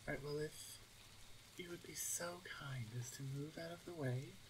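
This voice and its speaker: A woman's voice: sits low, normal speed, background noise, close to an everyday voice. A woman playing a stern, suspicious younger orc huntress in an ASMR roleplay, speaking in a curt, exasperated manner. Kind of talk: ASMR roleplay audio drama.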